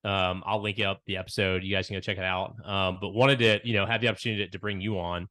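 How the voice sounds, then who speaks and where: A man's voice: deep voice, little pitch movement, quick pace, pushed and bright. A man hosting a podcast, talking in a friendly, upbeat, bro-ish way. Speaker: podcast host. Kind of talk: casual conversation.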